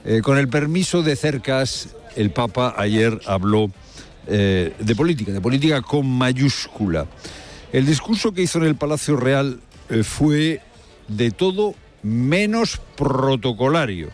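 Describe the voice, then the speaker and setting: A male news presenter delivers live commentary in a medium-pitched voice. He leans on rhetorical questions and repeats his key phrases for emphasis. Faint music plays underneath. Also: animated, unhurried. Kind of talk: live spoken commentary with field reporting.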